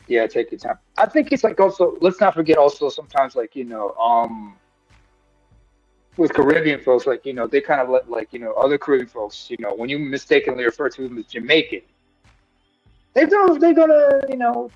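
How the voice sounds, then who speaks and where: A man's voice: medium pitch, big pitch swings, a little fast, darkish tone, no echo, dull audio, soft background music. A man co-hosting a live stream, talking earnestly in long, rambling thoughts full of fillers. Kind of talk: casual discussion.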